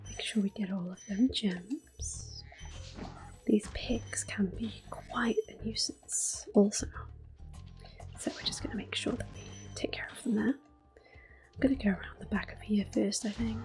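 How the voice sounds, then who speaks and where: Whispering woman doing ASMR game commentary. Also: medium pitch. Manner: hushed, gentle. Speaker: ASMR gaming host. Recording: soft music in the background. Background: quiet game music.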